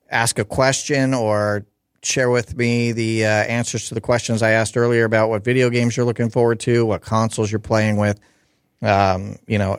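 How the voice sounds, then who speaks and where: A man hosting a call-in radio show, talking casually with lots of fillers and restarts, his voice hoarse. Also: medium pitch, dry recording, clean studio sound. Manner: rambling, chatty, many fillers and restarts.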